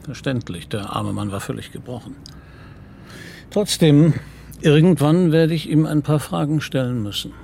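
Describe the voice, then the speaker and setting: An actor playing a composed, considerate middle-aged police commissioner in a radio play, husky and gravelly, asking questions in a measured, polite way. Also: male voice, a little high, mellow. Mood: businesslike.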